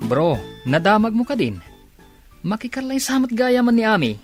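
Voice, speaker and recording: Radio drama role: brotherly male friend, lively intonation, slightly high voice. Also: normal speed, faint music behind. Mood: consoling. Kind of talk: Ilocano radio drama acting.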